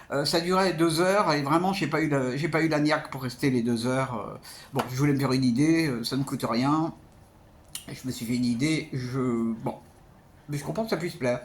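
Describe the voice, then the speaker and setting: Man, somewhat high-pitched, casual film vlogger, hesitant, halting, repetitive phrasing. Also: a little hiss or hum. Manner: hesitant, halting, repeats words. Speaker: amateur film reviewer, vlogger. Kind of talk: casual spoken film review to camera.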